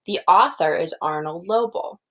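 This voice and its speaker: A woman's voice: fairly low pitch, normal speed, fairly bright tone. A woman teacher explaining things simply to young children and reading a storybook aloud.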